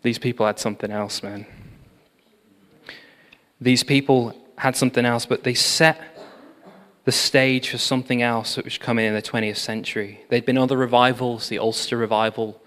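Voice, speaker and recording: A man's voice: medium pitch, moderate pace. Man preaching in a reverberant hall. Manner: storytelling, animated, with fillers. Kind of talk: sermon on revival history.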